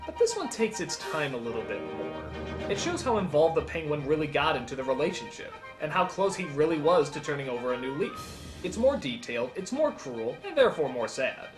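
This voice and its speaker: Male reviewer, lively swinging pitch, emphatic comedic countdown narration, background music. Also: brisk. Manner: animated, emphatic, exclamatory, profane. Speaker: video reviewer and countdown host.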